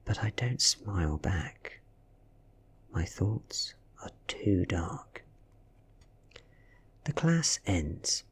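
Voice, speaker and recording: A British man reading a story in a soft ASMR style, half-whispered and breathy, with deliberate dramatic pauses. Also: mid-range voice. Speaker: audiobook reader.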